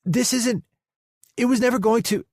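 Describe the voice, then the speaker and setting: A man voicing an awkward, eager young woman employee in a romance audiobook, halting and flustered. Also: very high voice, a little mellow, dry recording, clean studio sound, voice pushed up.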